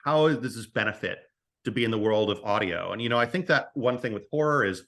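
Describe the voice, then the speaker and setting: A man's voice: fairly low pitch, expressive, fairly quick, fairly bright tone. Conversational man in his late thirties. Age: late 30s. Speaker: podcast guest, audio drama writer. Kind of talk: casual interview talk.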